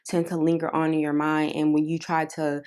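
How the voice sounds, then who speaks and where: Young woman, low-pitched, self-help vlogger, chatty and soft-spoken. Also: monotone, a little fast, clean tone. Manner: chatty, lots of "like" and "um", soft careful delivery. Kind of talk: casual talk to camera.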